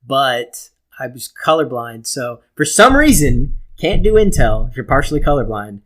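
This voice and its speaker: A man's voice: mid-range voice, sing-song, normal speed, a bit bright. Casual, foul-mouthed man telling stories. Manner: profane, self-mocking. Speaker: podcast co-host. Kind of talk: casual chat, storytelling.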